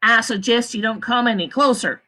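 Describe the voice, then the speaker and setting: A woman reading aloud, voicing a fierce, small female attorney who speaks loudly and sharply. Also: a little high, normal speed. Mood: forceful. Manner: forceful, sharp and loud.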